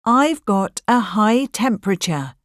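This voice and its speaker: A woman reading model sentences slowly and clearly for a coursebook recording. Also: medium pitch, expressive, dry recording, studio quality. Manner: slow, careful model sentences for learners. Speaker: course audio speaker. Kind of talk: scripted coursebook audio.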